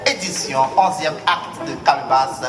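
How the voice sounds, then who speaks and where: A man performing slam on stage, chanting and shouting theatrical refrains. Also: a little high, a little slow. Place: large indoor concert hall on stage. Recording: echoey live hall from far off. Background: loud live music and a cheering crowd.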